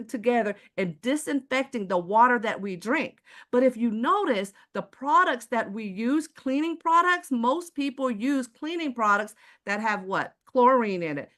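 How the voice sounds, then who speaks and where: A woman with a Texas accent giving a live online sales presentation, using many rhetorical questions and calling out to her listeners as ladies and gentlemen. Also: fairly high pitch. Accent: Texan. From Houston, Texas. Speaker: presenter selling water filters.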